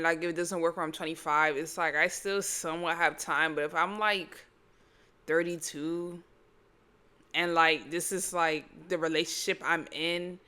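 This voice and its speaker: A young woman in her early twenties hosts a casual advice show. Her voice is bright and smooth, and she talks loosely in slang, thinking out loud. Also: lowish voice. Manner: chatty, slangy, thinks aloud with "like". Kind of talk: casual chat.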